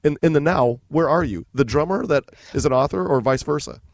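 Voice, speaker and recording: A man's voice: fairly high pitch, lively intonation, a little fast. A man co-hosting a casual podcast, asking loose, rambling questions with frequent restarts. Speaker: podcast co-host.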